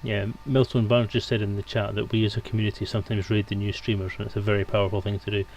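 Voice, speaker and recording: Very fast-talking man hosting a stream. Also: lowish voice, level tone, gravelly, darkish tone, slight background noise, rough recording. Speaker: stream host. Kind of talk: casual live-stream chat.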